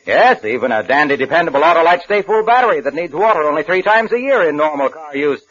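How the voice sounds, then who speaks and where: A man's voice: a little high, very animated, quick pace, a bit bright. A man working as a radio announcer, reading a sponsor's advertisement in 1950s broadcast style. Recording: muffled old radio broadcast recording.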